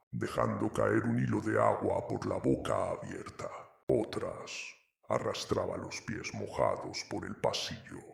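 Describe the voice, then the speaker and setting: A man's voice: low-pitched, vocal fry. A man narrating horror stories in a dramatic, ominous way.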